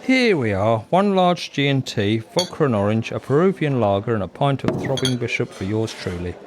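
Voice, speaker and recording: A man's voice: mid-range voice, very animated, moderate pace, noisy background, close to an everyday voice. A man playing a genial, homely husband of nearly sixty in a comic audio drama. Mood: jovial. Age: older.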